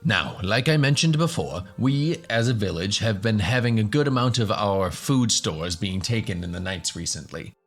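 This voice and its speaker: A man's voice: lowish voice, animated, moderate pace, few pauses, faint music behind. A man playing a courteous professor in a fantasy radio story, polite, slightly hesitant and explanatory. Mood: earnest, explanatory. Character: courteous male professor hosting a band of adventurers.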